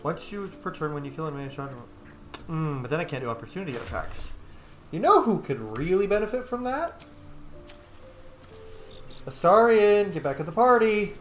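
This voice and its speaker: A man's voice: high voice, animated, moderate pace, pauses often, fairly bright tone. A man hosting a gaming video, giving casual playthrough commentary and role-playing along. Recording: roomy sound with faint game music. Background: game music.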